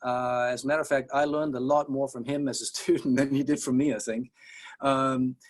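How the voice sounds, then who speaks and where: A man's voice: medium pitch, level tone, fairly quick. Middle-aged Danish-accented man, wry lecturer. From Denmark. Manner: discursive and wry, with self-deprecating jokes. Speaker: college music teacher. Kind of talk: opening class lecture.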